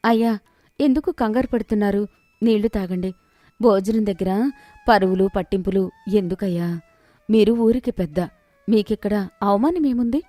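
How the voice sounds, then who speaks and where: A woman voicing a kind, humble, respectful poor village wife in an animated moral story. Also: mid-range voice, normal speed, long pauses, dry recording, lightly acted. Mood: reassuring, respectful. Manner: gentle, earnest, deferential.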